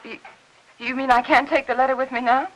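An actress in her thirties with an American accent playing an anxious, pleading housewife in a fifties film melodrama, halting under strain. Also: high voice, little pitch movement, muffled recording, close to an everyday voice. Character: anxious, pleading suburban housewife guarding a secret. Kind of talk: 1950s melodrama film acting. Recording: hissy old 1950s film soundtrack.

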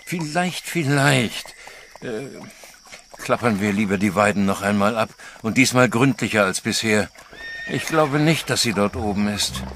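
A man's voice: fairly low pitch, expressive, measured pace, fairly bright tone, slight background noise. An actor playing a worried, stubborn father in a radio play, speaking in a tense, insistent way. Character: worried, stubborn male father searching for his daughter.

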